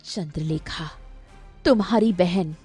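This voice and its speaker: A woman voicing a mysterious, menacing mirror spirit in an animated story, assured and ominous. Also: fairly low pitch, slow, husky, faint music behind, exaggerated voice. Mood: mysterious, knowing.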